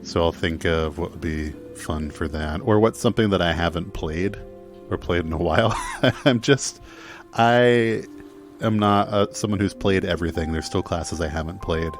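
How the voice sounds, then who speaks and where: A man with a very low-pitched voice chats casually as a podcast panelist. His talk is informal and conversational, with music audible underneath. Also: normal speed. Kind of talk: casual chat. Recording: music playing behind the voice.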